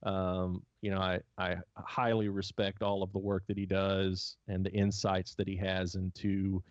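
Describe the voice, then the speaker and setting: A man's voice: deep voice, completely flat delivery, measured pace, no echo, highs cut off. A man hosting a casual podcast chat, rambling with many fillers and restarts. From United States. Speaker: podcast host.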